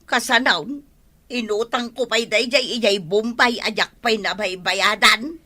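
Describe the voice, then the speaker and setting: A woman's voice: fairly high pitch, unhurried, bright, forceful tone. A woman playing a nosy, complaining village wife in an Ilocano comedy drama.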